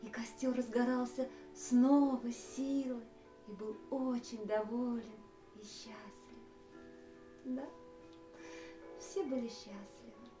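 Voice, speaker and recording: A woman telling a children's tale, with dramatic pauses and expressive repetition. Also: a little high. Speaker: storyteller. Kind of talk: children's storytelling, improvised. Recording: roomy echo, faint music behind.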